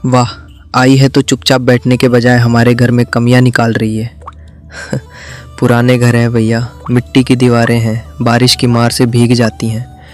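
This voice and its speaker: Worried village husband in a cartoon, a man voices him, flat and grumbling. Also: medium pitch, normal speed, faint music behind, natural voice.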